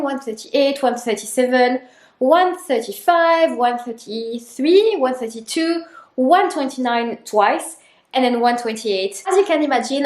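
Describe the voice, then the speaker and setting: A woman vlogging about her running plans, upbeat and methodical as she lists her race times. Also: high voice. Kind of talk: talking to camera. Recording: roomy echo.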